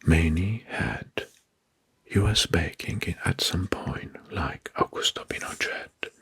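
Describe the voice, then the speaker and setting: A man narrating soft-spoken ASMR in a breathy, husky whisper, deliberately repeating names and phrases. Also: deep voice, steady tone. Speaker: host of an ASMR history channel.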